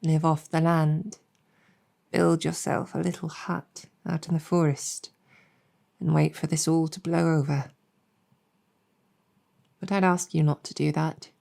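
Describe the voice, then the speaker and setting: A woman playing a gentle, earnest, world-weary demon general in an ASMR roleplay, speaking softly and reassuringly with measured hesitation. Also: deep voice, level tone, gravelly, full character voice. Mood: gently persuasive. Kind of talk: improvised ASMR roleplay storytelling.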